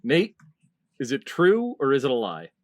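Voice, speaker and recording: A woman's voice: extremely low pitch, wildly swooping pitch, normal speed. A woman hosting a casual podcast quiz, calling turns and scores in an orderly way. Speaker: game show host.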